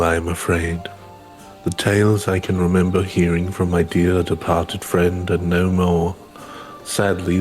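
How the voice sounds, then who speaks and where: Man as an ancient woodland elder, low-pitched, even intonation, wise, gracious, formal, fantasy game dialogue. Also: poor audio. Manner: formal, measured, archaic phrasing. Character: ancient, gracious, wise woodland elder of long-lived rabbit-eared folk. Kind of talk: reading video game dialogue in character.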